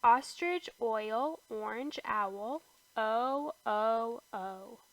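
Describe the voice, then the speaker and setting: A woman reads an alphabet picture book aloud for toddlers, her high voice rising on each capital letter and sinking to a near hush on the repeats. She takes everything very slowly, with lots of space between words. The sound is close and dry, with no room around it. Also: no room sound, rough recording. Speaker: children's book reader. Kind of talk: slow read-aloud for toddlers.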